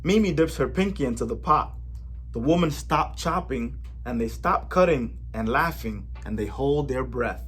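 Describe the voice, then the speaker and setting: A man reading a children's picture book aloud in a gentle, measured way, with an occasional small slip. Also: mid-range voice, lively intonation, breathy edge. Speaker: storybook reader for a library.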